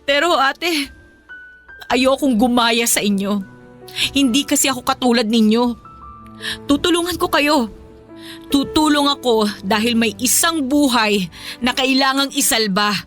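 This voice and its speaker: A woman's voice: sits high, measured pace, pauses often, a bit bright. A woman playing a long-suffering, principled mother in a radio drama, speaking in an earnest, emotional way. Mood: firm, compassionate. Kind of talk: Filipino radio drama acting. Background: music.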